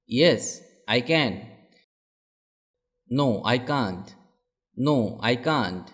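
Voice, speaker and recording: Slow, deliberate man modeling English phrases. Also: mid-range voice. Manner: clear, deliberate, exaggerated question-answer intonation. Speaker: English teacher reading practice phrases. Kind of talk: slow model sentences for learners, each said twice.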